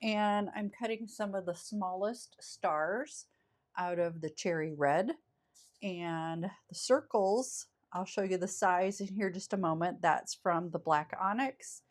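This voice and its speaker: Woman, craft tutorial host, scratchy cold voice, unhurried pace, chatty and self-correcting. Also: lowish voice.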